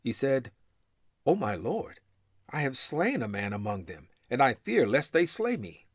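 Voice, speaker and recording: Expressive man reading scripture, very dry sound. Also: medium pitch, measured pace, no room sound. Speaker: scripture reader. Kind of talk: solemn scripture recitation of an English translation.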